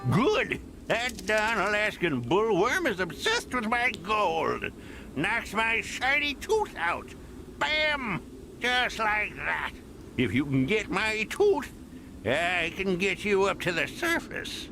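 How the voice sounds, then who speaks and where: A man voicing a grumpy, gold-obsessed old miner in a game cutscene, gravelly, folksy and crotchety. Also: sits high, very animated, takes it slowly, noisy background, exaggerated voice. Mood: gruff, grudging. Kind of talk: animated game cutscene acting. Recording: music behind.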